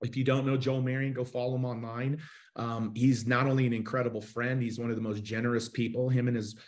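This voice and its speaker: A man's voice: mid-range voice, flat delivery, fast talker. Upbeat man hosting a video call. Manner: enthusiastic, gestures while talking. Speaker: host. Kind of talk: casual interview. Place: home studio, indoors.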